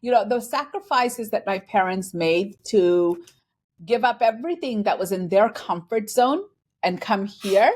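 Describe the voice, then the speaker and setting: A woman's voice: medium pitch, animated, a little slow, a little mellow. Earnest woman executive from Bangladesh. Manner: earnest, reflective, acts out quoted dialogue. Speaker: guest, finance and transformation executive. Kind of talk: conversational interview.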